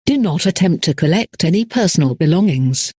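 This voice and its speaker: A man reading a scripted emergency broadcast announcement in a formal, measured manner. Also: a little high, lively intonation, darkish tone, husky, dry recording, clean studio sound.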